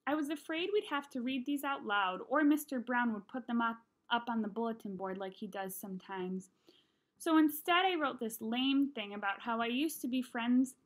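A woman in her thirties reading a children's novel aloud expressively, at home into a computer's microphone. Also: on the high side. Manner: expressive reading, animated in dialogue. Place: small room at home, indoors.

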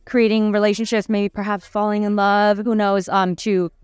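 A woman's voice: mid-range voice, little pitch movement, normal speed, clean tone, dry recording, clean studio sound. Thoughtful young woman on a red carpet. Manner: thoughtful, many fillers and restarts. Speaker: actor interviewed on a red carpet. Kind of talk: casual red-carpet interview. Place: busy premiere red carpet, indoors. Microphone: handheld mic held by interviewer.

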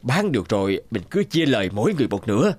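A man voicing a wily, smooth-talking traveling merchant in an animated folk tale. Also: medium pitch, fast talker. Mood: ingratiating.

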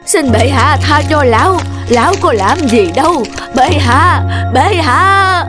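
A woman voicing a humble old peasant man in an animated folk tale, pleading and sighing. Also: high-pitched, slow pace. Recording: music under the voice.

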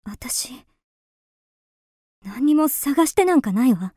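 A woman's voice: sits high, expressive, takes it slowly, mellow, husky, dry recording, clean studio sound, full character voice. An actress playing a lonely, dreamy woman lost in romantic fantasy, with polite, theatrical delivery in a radio drama. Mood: distressed denial.

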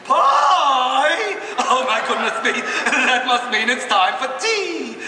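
A man voicing a giddy, eccentric cartoon tea-party host live on stage, giggly and excitable. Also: extremely high pitch, takes it slowly, exaggerated voice. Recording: echoey hall, faint noise.

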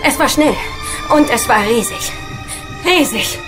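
High-voiced crewwoman over loud music. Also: expressive, measured pace, poor audio. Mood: alarmed. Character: alarmed female spaceship crew member. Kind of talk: audio drama acting.

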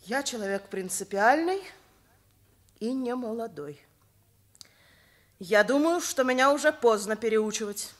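An actress playing a rigid, principled older schoolteacher, emphatic and lecturing, in Soviet radio theatre. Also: female voice, on the high side, lively intonation, a little slow, pauses often. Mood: cold, firm.

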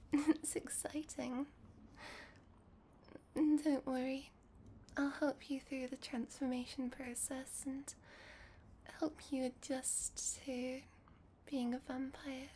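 Casting: woman as nervous, sweet young female vampire, ASMR girlfriend roleplay; soft dark tone, breathy hesitant delivery, some background noise. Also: sits high, flat delivery, extremely slow, pauses often. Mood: tender, bashful. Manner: hesitant, stammering, breathy, often whispering.

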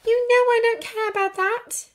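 A woman's voice: very high voice, big pitch swings, normal speed, fairly bright tone, pitched above the performer's own voice, heavily acted. A woman voicing a bookish, earnest teenage witch who is exasperated but caring, in insistent audiobook dialogue. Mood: flustered.

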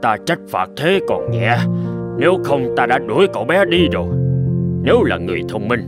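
Man acting a stern, measured old sword master. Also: medium pitch, big pitch swings, loud music behind the voice, lightly acted. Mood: stern lecturing. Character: stern wise old male kenjutsu master, fatherly storyteller. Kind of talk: scripted Vietnamese cartoon dub, telling a parable.

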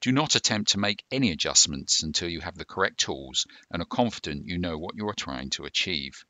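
A man presenting a scripted instructional narration in a measured, formal, explanatory manner. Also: low-pitched, expressive, sharp tone, dry recording.

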